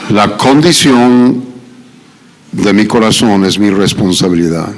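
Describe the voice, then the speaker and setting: A middle-aged man preaching a sermon in a church with a Mexican Spanish accent, pausing on many "ah" fillers and rising to emphatic questions. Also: on the low side. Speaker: visiting preacher. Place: church sanctuary. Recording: echoey church hall.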